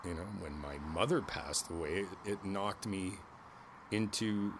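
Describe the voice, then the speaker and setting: Man playing a deep-voiced older neighbor, thoughtful. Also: background noise. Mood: somber, candid. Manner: halting, thoughtful, conversational, repeats phrases. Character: kindly older male neighbor, reflective and candid. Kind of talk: ASMR roleplay, one side of a conversation.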